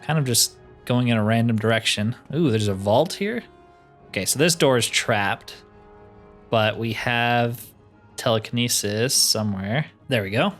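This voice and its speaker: A man's voice: mid-range voice, measured pace, a bit bright. Chatty man doing casual game commentary. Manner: chatty, informal, thinking aloud. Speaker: host of a game roleplay series. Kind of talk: casual let's play commentary. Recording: music behind the voice.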